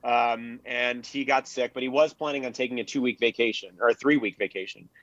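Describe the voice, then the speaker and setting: A man's voice comes through dry and a little dull, at a medium pitch. He lays out facts conversationally as a panelist, in a measured, informative way. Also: steady tone, fairly bright tone, no room sound. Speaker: panelist, researcher. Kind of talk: casual explanatory discussion. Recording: dull, lo-fi close audio.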